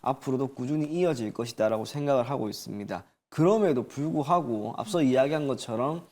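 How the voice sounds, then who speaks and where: A man's voice: medium pitch, a little fast, darkish tone. A man working as a stock market analyst, giving formal, firm, explanatory commentary.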